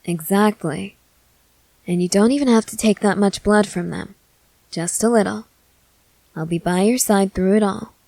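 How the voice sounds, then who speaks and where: A woman's voice: medium pitch, normal speed, gravelly, long pauses, dark tone, no echo, close to an everyday voice, poor audio. A woman playing a confident, experienced vampire who coaxes and reassures in a matter-of-fact way, in an ASMR roleplay. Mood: reassuring. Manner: matter-of-fact, coaxing, assured.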